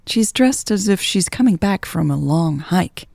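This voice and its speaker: A woman's voice: on the low side, expressive, unhurried, gravelly, darkish tone, dry recording. A woman reading a first-person romance story as a scripted narration.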